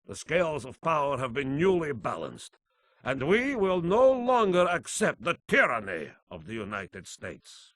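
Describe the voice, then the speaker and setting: An actor playing a menacing, grandiose Georgian warlord who declaims pompously in a video game. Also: male voice, a little high, wildly swooping pitch, measured pace, a bit bright, no room sound, clean studio sound, full character voice. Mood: defiant menace.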